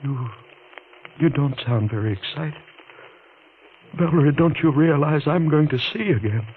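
An actor playing a frightened, needy husband, injured and in pain, halting and pleading in a 1940s radio drama. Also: male voice, fairly high pitch, lively intonation, breathy edge, heavily acted. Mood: excited, hopeful. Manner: halting, repeats words, pleading. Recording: scratchy, narrow-band 1940s radio recording.

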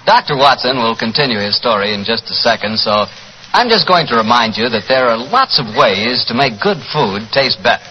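A man, announcing and pitching a sponsor in 1940s radio advertising style. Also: expressive, moderate pace, over loud music. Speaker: announcer and host reading sponsor ad. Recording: reverberant, muffled, noisy 1940s radio recording.

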